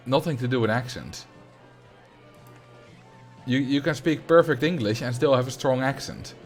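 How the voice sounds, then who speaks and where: A man's voice: mid-range voice, normal speed, faint music behind. A Dutch man with a mild Dutch accent, chatting casually through a game livestream and answering his chat. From Netherlands. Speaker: streamer. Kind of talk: casual livestream commentary while playing a game.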